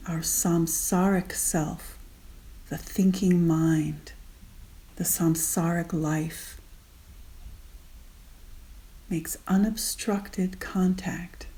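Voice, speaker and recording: A woman guiding a meditation gently and unhurriedly in short spaced phrases, with soft, breathy endings. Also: on the low side. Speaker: meditation guide. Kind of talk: guided meditation.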